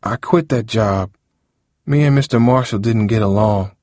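A man's voice: fairly low pitch, normal speed, darkish tone, no echo, clean studio sound, natural voice. A man voicing an earnest, evasive teenage boy in an audiobook, defensive and pleading. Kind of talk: audiobook character dialogue.

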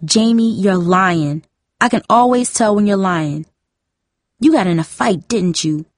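A woman's voice: mid-range voice, a little slow, dry recording, clean studio sound, natural voice. A woman voicing a protective, persistent teenage older sister, earnest and pressing, in a story reading. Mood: alarmed, pressing.

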